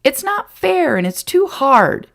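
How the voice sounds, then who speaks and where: A woman voicing an excitable young boy who whines and begs, high and pleading. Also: takes it slowly, creaky, full character voice. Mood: eager whiny.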